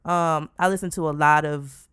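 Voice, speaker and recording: Twenties woman podcast co-host, blunt and profane, smooth low voice, dry close sound. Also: moderate pace, no room sound. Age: 20s. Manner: blunt, frequent swearing, animated. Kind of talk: casual chat.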